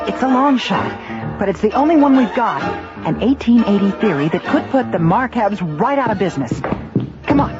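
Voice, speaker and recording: A woman playing a brisk, commanding research director in a radio drama, decisive and probing. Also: fairly high pitch, expressive, lightly acted. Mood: urgent, decisive. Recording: dull radio recording, loud music behind.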